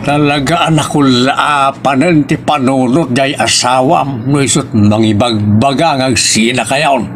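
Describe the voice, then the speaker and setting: A man's voice: medium pitch, unhurried, few pauses, close to an everyday voice. A man playing an opinionated older father who goads his married son in a family audio drama. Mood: goading. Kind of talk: Ilocano family radio-style drama acting.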